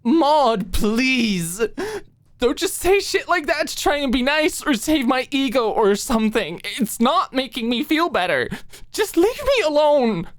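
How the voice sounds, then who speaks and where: Audiobook role: wounded, defensive young woman, played by a female reader, tearful and shaky, pitched high, dry recording. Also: very animated, unhurried, no echo. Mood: upset, tearful. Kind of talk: audiobook character acting.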